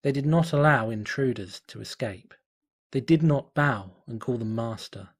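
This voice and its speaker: A man reading a fan story aloud as narrator, in a steady, measured way. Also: medium pitch, breathy edge. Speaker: fanfiction reader.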